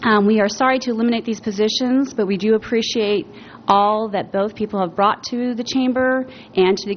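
A woman speaking as a chamber of commerce president during public comment at a meeting, earnest, with frequent ums. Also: mid-range voice. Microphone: podium microphone. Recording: muffled meeting audio with faint noise.

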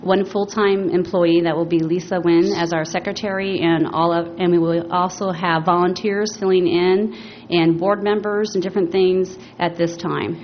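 A woman's voice: fairly low pitch, fairly even intonation, normal speed, hardly any pauses. Earnest woman giving public comment. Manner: earnest, with frequent ums. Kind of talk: formal public comment at a meeting. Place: public meeting room. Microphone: podium microphone. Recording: muffled meeting audio with faint noise.

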